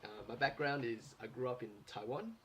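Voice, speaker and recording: A man in his late twenties tells his own travel adventures in a casual video pitch. He speaks at a middle pitch, earnest and friendly, often pausing on fillers and restarting his sentences. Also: fairly bright tone. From Australia. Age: 20s. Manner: earnest and friendly, with frequent fillers and self-corrections. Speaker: applicant pitching himself as a travel host.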